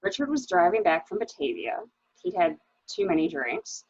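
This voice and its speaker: A woman's voice: on the low side, sing-song, normal speed, husky, no echo. A woman in a dramatic mystery reading, playing an anxious, hesitant widow, on a dull home recording. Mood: somber, recounting. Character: anxious, hesitant new widow recounting her husband's misdeeds. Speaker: library staff reader.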